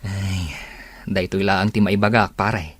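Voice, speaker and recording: Man playing husky, chummy Filipino buddy. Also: deep voice, level tone, slow pace, a bit bright, no room sound, full character voice. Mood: chummy, frank. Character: male workmate and family man, chummy, frank. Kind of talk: radio drama acting.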